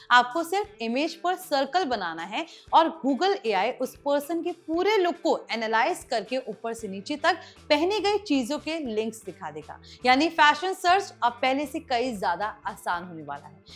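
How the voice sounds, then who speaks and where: A woman with an Indian accent hosting tech news, explaining scripted items in a presenter's manner. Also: sits high. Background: background music.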